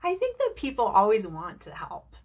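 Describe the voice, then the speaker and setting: A woman's voice: mid-range voice, huge pitch swings, normal speed, darkish tone. An American woman from New Jersey, an English teacher who talks viewers through tips in a chatty, encouraging way. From New Jersey, United States. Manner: chatty, encouraging, asks rhetorical questions. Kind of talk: video lesson to camera.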